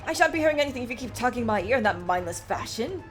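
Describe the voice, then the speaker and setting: A woman's voice: a little high, lively intonation, racing, close to an everyday voice. A woman voicing an earnest, polite young man who is the exasperated partner of a detective. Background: game music.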